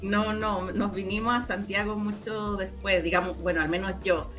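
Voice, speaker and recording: A woman's voice: medium pitch, fairly even intonation, moderate pace, over loud music. A middle-aged woman with a Chilean accent from the O'Higgins region, reminiscing casually as an interview guest, often repeating her words as she tells stories.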